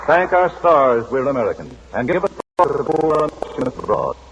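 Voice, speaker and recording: A man announcing ads in a smooth, persuasive 1940s radio style. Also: mid-range voice, big pitch swings, moderate pace, noisy background. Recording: dull old radio broadcast.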